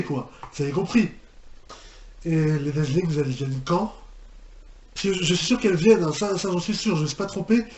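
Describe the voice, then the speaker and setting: A man's voice: fairly high pitch, a little mellow. A man giving casual reaction commentary, often repeating himself and hesitating in disbelief. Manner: hesitant, repetitive, incredulous exclamations. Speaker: reaction video host. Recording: echoey room, faint noise.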